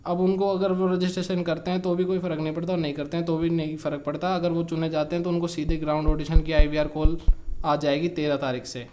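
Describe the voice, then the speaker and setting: Indian male video host, slightly high voice, informal explainer delivery, repeats points step by step. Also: level tone, fast talker, darkish tone. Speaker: YouTube channel host.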